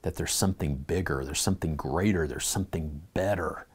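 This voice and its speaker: A man's voice: sits low, brisk, husky. A man teaching a church Bible class, reading scripture aloud and putting earnest questions to his listeners.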